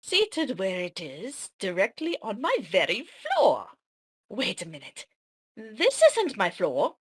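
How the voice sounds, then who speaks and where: Woman acting a husky, slow, secretive warrior storyteller. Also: mid-range voice, wildly swooping pitch, exaggerated voice. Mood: assured, sly. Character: cryptic woman warrior, grave secretive storyteller of fantasy lore. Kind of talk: amateur table read of a fantasy script.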